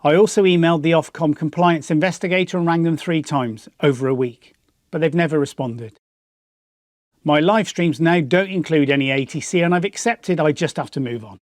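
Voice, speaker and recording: British guy explaining stuff for his channel, voice a bit high, really dry recording no room sound. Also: moderate pace, no echo. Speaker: YouTube channel host and hobby pilot. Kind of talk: scripted explanatory narration to viewers.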